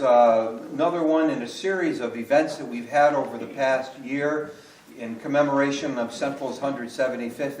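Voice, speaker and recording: A man welcoming the audience to a church play and announcing events, relaxed and hesitant, with many fillers. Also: mid-range voice, slight background noise. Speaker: church member introducing the performance. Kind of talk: informal welcome speech. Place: church sanctuary. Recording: echoey church from a distance.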